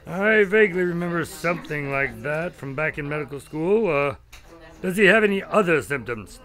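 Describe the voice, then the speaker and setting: A man's voice: on the high side, expressive, normal speed, a bit bright. A man playing an exasperated, polite doctor. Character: polite, slightly exasperated male doctor narrator. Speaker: narrator.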